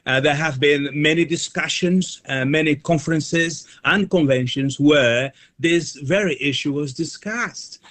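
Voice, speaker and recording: A man speaking as a guest in a radio interview, answering in a formal, measured and wordy manner. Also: fairly high pitch, fairly bright tone.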